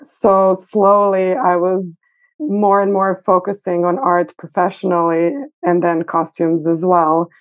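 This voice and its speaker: A woman's voice: on the low side, little pitch movement, measured pace. Woman over a tinny phone-like line. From Serbia. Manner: thoughtful, hesitant with fillers. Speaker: guest costume designer. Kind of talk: casual interview. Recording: phone-like remote call.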